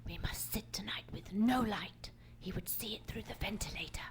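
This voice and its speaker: A woman's voice: medium pitch, moderate pace, whispered, lightly acted. A woman playing a keen, commanding male detective in a live old-time radio mystery, speaking precisely and theatrically. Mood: tense, secretive. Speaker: radio play actor. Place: theatre stage. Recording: noisy live theatre stage recording.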